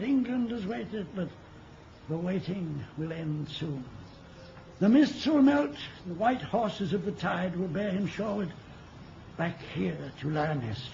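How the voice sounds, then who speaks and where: An actor playing an ancient wizard and mentor in a radio play, speaking in a poetic, archaic and wistful manner. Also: male voice, high voice, gravelly, darkish tone, heavily acted. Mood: solemn longing. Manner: poetic, grandly archaic, chuckling speech. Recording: dull, noisy old radio recording.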